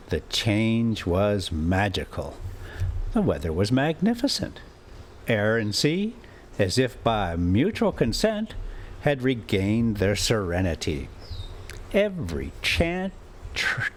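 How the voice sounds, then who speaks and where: A man reading a classic adventure novel aloud as an amateur storyteller, with dramatic, deliberate phrasing and pauses. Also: on the low side, a little hiss or hum. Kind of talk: expressive amateur audiobook narration with casual asides.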